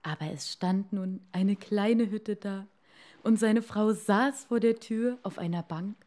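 Slow-paced woman reading a bedtime tale. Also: mid-range voice, dark tone. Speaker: storyteller, podcast host.

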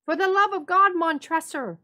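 A woman's voice: very high-pitched, moderate pace, bright, forceful tone, voice pushed up. A woman voicing a trapped, drunken nobleman in a dramatic story reading, giggling desperately, exclaiming and pleading.

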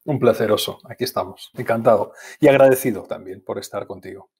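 A man in his fifties from Spain, speaking with a Spanish accent as an interview guest, telling personal memories in a calm, measured way over a video call from a home study. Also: on the low side, slightly rough. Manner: calm, measured, reflective, restarts words. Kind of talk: reflective personal storytelling. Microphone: earphone mic on video call.

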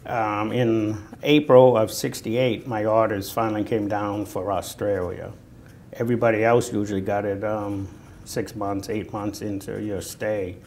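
Low, gravelly older Boston man. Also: flat delivery, a little slow, darkish tone. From Natick, Massachusetts, United States. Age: 60s. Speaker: Vietnam War veteran being interviewed. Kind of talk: oral history reminiscence. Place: indoor room, seated on camera. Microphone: lapel. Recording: noticeable background noise.

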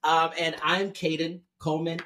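A man in his thirties hosts a casual podcast panel at home. He talks in a relaxed, chatty way, laughing easily and stopping to explain terms for the listeners. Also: on the high side, moderate pace, slight background noise. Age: 30s. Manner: laid-back, laughing, explaining. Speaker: podcast host.

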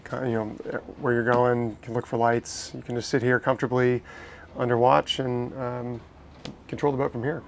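A man's voice: medium pitch, flat delivery, normal speed, gravelly, a little hiss or hum. Enthusiastic man giving a boat tour. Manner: enthusiastic, explanatory, frequent restarts. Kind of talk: casual walkthrough tour. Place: inside a catamaran saloon, docked.

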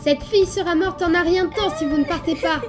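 A woman's voice: very high voice, steady tone, a little fast, over music. A woman voicing an anxious, kind-hearted, determined young child in a French comic dub, earnest and emotional. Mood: threatening.

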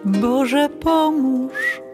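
A caring, devout village mother in a children's radio play. She is earnest and tender, with a high voice and prominent music behind her. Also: expressive, very slow, full character voice. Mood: prayerful singing. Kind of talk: rhymed radio play acting and singing.

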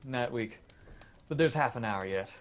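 An actor playing a teasing, sceptical young electrician son in a radio drama, breezy and colloquial. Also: male voice, mid-range voice, a little slow, natural voice. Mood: cheerful.

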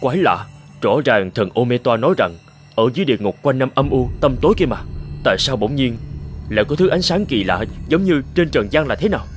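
A man's voice: medium pitch, expressive, brisk, soft background music, lightly acted. A man voicing a brave, earnest young god, a resolute and respectful cartoon hero. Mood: puzzled.

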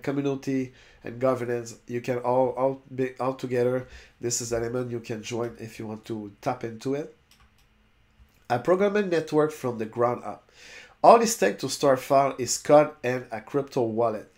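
A man's voice: medium pitch, a little mellow. French-native man reading web pages aloud. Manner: stumbles and restarts on long words. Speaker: crypto YouTuber. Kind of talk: reading a website aloud with casual asides. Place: indoors, webcam overlay on screen share.

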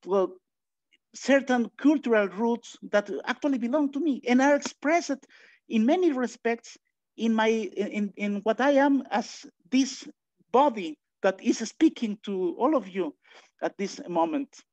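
A man's voice: very high voice, a little slow. Mexican-accented man lecturing over video call. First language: Spanish. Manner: thinking aloud, frequent restarts and filler sounds, animated asides. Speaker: philosophy lecturer. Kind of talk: online lecture. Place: home room, indoors. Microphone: video call webcam. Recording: dull lo-fi video call audio.

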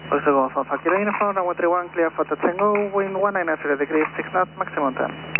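A man's voice: high-pitched, fairly quick. A man working as an air traffic controller, giving clipped aviation instructions over the radio. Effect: aviation radio transmission. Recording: noisy, tinny, band-limited air traffic radio. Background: loud radio static and noise.

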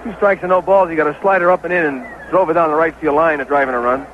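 Man, American accent, high-pitched, excitable partisan baseball commentary, dull vintage radio sound, noisy background. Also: quick pace. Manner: excitable, partisan home-team caller. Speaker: baseball play-by-play announcer. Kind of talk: 1970s live radio sports commentary.

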